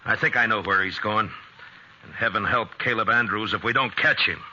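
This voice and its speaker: A man acting a gruff, weary but loyal frontier marshal in a 1950s radio drama, with a terse, understated delivery. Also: on the low side, normal speed, over loud music, lightly acted. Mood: concerned. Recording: muffled old radio recording, clear noise.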